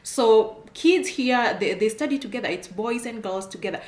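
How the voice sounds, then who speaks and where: A woman's voice: fairly high pitch, moderate pace, a little mellow. A middle-aged woman with a Kenyan accent, giving frank advice straight to camera, repeating her points and laughing often. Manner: frank, repetitive, emphatic, laughs often, gestures while talking. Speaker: immigration consultant. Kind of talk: direct-to-camera advice talk. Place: indoor room at home, plain wall. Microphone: camera on a desk, seated facing it. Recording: echoey room.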